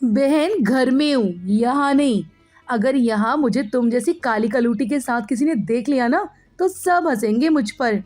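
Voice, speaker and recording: A woman's voice: high voice, measured pace, darkish tone, soft background music. A woman voicing a vain, spoiled young girl with a snooty, taunting manner in a moral story. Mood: haughty.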